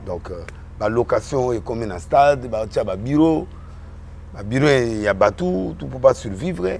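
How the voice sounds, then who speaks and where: Homme originaire du Congo qui parle en mélangeant le français et le lingala, sur un ton emphatique, en répétant ses mots dans une discussion politique. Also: male voice, medium pitch, expressive, measured pace, vocal fry, a little mellow, a little hiss or hum. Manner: mixes French and Lingala, emphatic, repeats words. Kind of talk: animated political chat.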